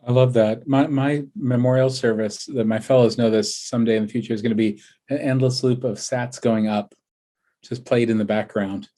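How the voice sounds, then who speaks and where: A man's voice: mid-range voice, fairly even intonation, moderate pace, warm tone. A man moderating a panel, asking questions and adding dry jokes. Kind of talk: panel discussion.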